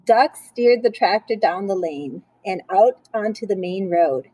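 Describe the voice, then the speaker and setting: Slow-paced woman reading a children's storybook. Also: medium pitch, no echo. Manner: playful, expressive. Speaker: storyteller reading a picture book aloud. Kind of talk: children's read-aloud with chatty asides.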